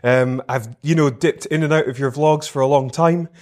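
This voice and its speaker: Friendly interview host. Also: male voice, medium pitch, fairly even intonation, a little mellow. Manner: friendly, encouraging, quick backchannels. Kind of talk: interview podcast hosting.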